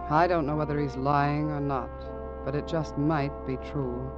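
Man acting earnest doomed young man, first-person narration, heartfelt, measured, dull vintage radio recording, music behind. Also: fairly high pitch, fairly even intonation, natural voice. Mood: resigned, grave. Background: prominent dramatic music.

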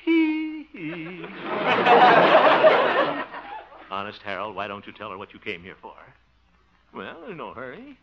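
A man's voice: medium pitch, huge pitch swings, heavy background noise. An American man acting in a 1950s radio comedy as a bashful, flustered middle-aged bachelor who stammers and chuckles, on an old muffled recording. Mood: bashful, smitten. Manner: stammering, chuckling bluster, drawn-out words.

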